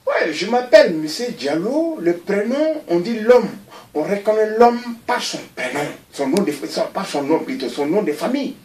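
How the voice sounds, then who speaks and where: A man, giving a recorded interview and talking in an animated, rambling way, often repeating himself. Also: sits high, moderate pace, few pauses, roomy sound, recorded from a distance. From Mali.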